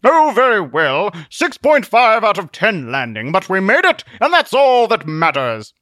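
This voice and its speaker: A man's voice: high voice, huge pitch swings, a little slow, pushed and bright, dry recording, studio quality, pitched above the performer's own voice, exaggerated voice. A man voicing a reckless, cheerfully boastful male adventurer in an audiobook, with theatrical, grandiose delivery. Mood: triumphant. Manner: theatrical, grandiose, florid phrasing. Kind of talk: audiobook character voice.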